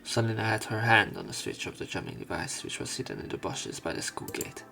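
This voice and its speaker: A man with a low, gravelly voice narrates a visual novel for a let's play. He stumbles now and then over words as he reads and comments, with faint music playing behind him. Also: huge pitch swings, fairly quick. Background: soft game music.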